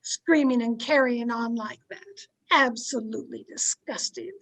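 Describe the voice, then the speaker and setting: A woman acting a bossy society wife in an old-time radio play. Her voice is high and her delivery slow and theatrical. She scolds and commands with haughty indignation. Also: fairly bright tone, low-quality recording. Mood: indignant, scolding. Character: domineering, haughty society wife, easily outraged. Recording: amateur video-call recording.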